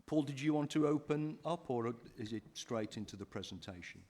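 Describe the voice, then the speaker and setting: A man chairing a council committee meeting, speaking haltingly and often pausing on fillers and restarts. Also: medium pitch, expressive, darkish tone.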